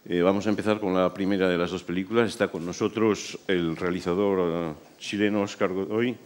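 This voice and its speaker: A man hosting a festival press breakfast, introducing guests and asking measured, formal questions. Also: sits low, steady tone. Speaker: festival interviewer.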